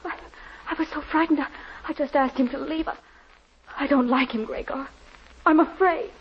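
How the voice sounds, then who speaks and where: A woman acting in an old radio drama as a wary, frightened wife, husky and breathy, with hesitant, intense pleading. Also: sits high, normal speed, very noisy. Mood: fearful. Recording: dull old radio recording, music behind.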